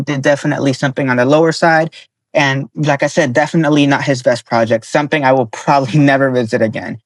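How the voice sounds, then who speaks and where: A man talks through album reviews with a hoarse, worn-out voice pitched a bit high. He reads quickly from his notes and corrects his own slips. The sound is close and dry, with no room echo. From Nevada, US. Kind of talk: casual scripted review.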